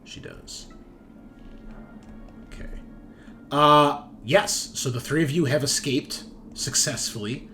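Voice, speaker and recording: A man's voice: medium pitch, takes it slowly, pauses often, pushed and bright, soft background music. A man narrating a tabletop roleplaying game as game master, with casual, off-the-cuff storytelling.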